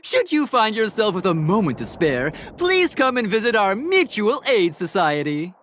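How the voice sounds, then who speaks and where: An actress playing a sweet-talking, pious female cult recruiter who preaches with gushing, theatrical warmth in a game. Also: mid-range voice, sing-song, moderate pace. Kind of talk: game dialogue voice acting. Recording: studio voice with game music behind.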